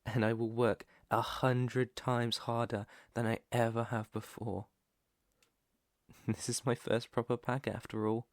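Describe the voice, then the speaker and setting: A British man playing an earnest, protective alpha werewolf in an ASMR roleplay, with a hesitant, stammering, soft-hearted way of talking and a creaky voice. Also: medium pitch, no room sound, clean studio sound. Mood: apologetic, devoted. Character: protective young male alpha werewolf, earnest, shy outcast.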